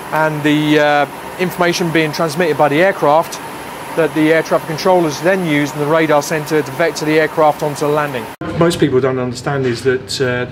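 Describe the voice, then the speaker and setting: Man, fairly high voice, explanatory presenter, noisy equipment room hum. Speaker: head of air traffic engineering. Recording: loud equipment and air-conditioning hum.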